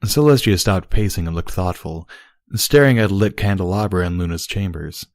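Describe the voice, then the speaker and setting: A man narrates fiction from a script. His voice is fairly low, and the recording is dry, with very little room sound. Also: normal speed, a little mellow, no room sound. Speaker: story reader.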